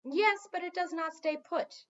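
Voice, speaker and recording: A woman's voice: extremely high pitch, unhurried, a bit bright, lo-fi sound, voice pushed up. A woman voicing a young forest king in a children's fantasy story, curt and dignified with some irritation. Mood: matter-of-fact. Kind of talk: children's fantasy audiobook reading.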